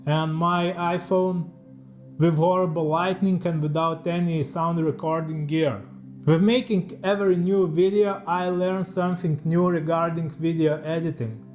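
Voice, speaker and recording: A man with a fairly high voice and a Lithuanian accent reads a prepared voice-over off a teleprompter. His English is careful and a little halting, the speech of a second-language speaker. Soft music plays faintly underneath. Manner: careful, slightly halting non-native English. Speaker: leathercraft YouTuber. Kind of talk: scripted voice-over read from a teleprompter.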